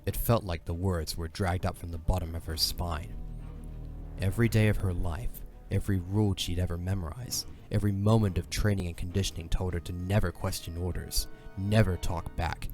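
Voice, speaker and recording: A man's voice: deep voice, steady tone, moderate pace, darkish tone, soft background music. A man narrating a fantasy audio drama in a dramatic, scripted storytelling style.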